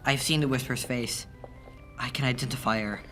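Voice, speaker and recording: A man's voice: mid-range voice, steady tone, brisk, a bit bright, soft background music, natural voice. A man playing a weary, frightened informant confiding secrets in an old-time radio drama.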